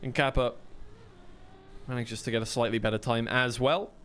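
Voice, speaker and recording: A man's voice: medium pitch, fairly even intonation, normal speed, a bit bright, clean tone. A man commentating live on an esports racing match, casually rambling and correcting himself between bursts of play-by-play. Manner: rambling, self-correcting asides.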